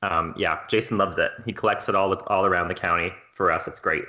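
A man in his late twenties co-hosting a podcast in casual chat, expressive and quick to laugh. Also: deep voice. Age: 20s. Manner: bright, expressive, laughs easily. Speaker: podcast co-host.

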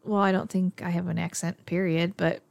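A woman's voice: fairly low pitch, flat delivery, a little fast, creaky, darkish tone, no room sound, clean studio sound. A woman with a northern Upper Midwest American accent, co-hosting a casual podcast, candid and profane, with frequent filler words. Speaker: podcast co-host.

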